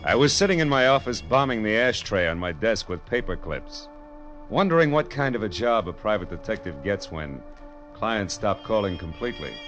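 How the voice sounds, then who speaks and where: Hard-boiled American detective, low and very animated, sardonic and clipped, old radio crime drama. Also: male voice, moderate pace, lo-fi sound. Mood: wry, world-weary. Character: wry, hard-boiled private detective, adult man. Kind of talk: first-person narration in 1940s radio detective drama. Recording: old radio broadcast, music behind.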